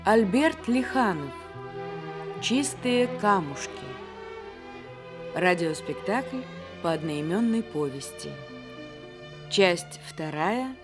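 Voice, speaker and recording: A woman reads radio play titles as a formal announcer. She speaks very slowly at a middle pitch, with clear violin music playing behind her. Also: animated.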